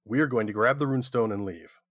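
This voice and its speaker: Dry-sounding, brisk gnome adventurer played by a man. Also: on the low side, fairly bright tone, no room sound. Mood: deadpan, decisive. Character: matter-of-fact, mildly exasperated gnome adventurer.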